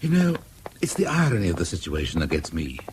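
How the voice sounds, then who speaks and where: An actor playing a sympathetic police inspector in a radio drama, courteous and wry in manner. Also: male voice, low voice, huge pitch swings, fast talker, darkish tone, a little hiss or hum. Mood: wry sympathy. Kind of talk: BBC radio drama acting.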